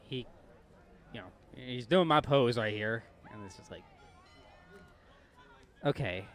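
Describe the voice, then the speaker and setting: A man working as game master, improvising narration and rules for a tabletop game while thinking aloud in a halting way. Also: mid-range voice, lively intonation, fairly bright tone.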